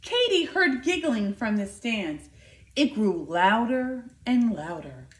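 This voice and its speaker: High-pitched, animated woman reading a storybook. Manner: expressive, deliberate, emphatic storytelling for children. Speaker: school nurse reading aloud to children. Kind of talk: animated children's picture book read-aloud. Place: living room by a fireplace, indoors. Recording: roomy, echoing indoor sound.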